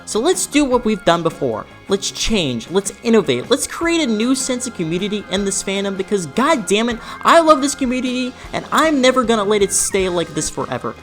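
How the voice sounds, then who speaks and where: Young adult doing earnest video essay voiceover, really animated intonation, bit of music behind. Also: mid-range voice, brisk, hardly any pauses. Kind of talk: scripted video essay narration.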